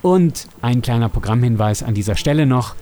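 A man's voice: mid-range voice, lively intonation, a little fast, warm tone, a little hiss or hum. A man hosting an audio drama podcast, chatting informally to listeners and sprinkling in English words. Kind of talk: casual behind-the-scenes talk to listeners.

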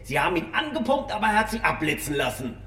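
An actor playing a gruff, hard-nosed police commissioner in an audio drama, brusque and accusing as he questions a suspect. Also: high-pitched, big pitch swings, fast talker, bright, forceful tone, echoey room, far from the mic, a little hiss or hum, exaggerated voice.

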